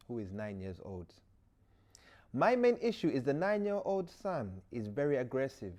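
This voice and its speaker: A man doing a Ugandan accent to voice a Nigerian stepfather's letter, read slowly and with emphasis. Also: mid-range voice, darkish tone, close to an everyday voice. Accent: Ugandan, put on. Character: exasperated Nigerian stepfather writing in for advice.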